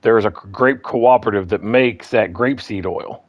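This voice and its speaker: A man with a slightly hoarse voice walking through his game farm in a casual, rambling way, full of ums and restarts. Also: fairly low pitch, moderate pace, no room sound. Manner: rambling, repeats himself, lots of ums and restarts. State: slightly hoarse, clearing throat. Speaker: gaming guide host. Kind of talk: casual gameplay walkthrough.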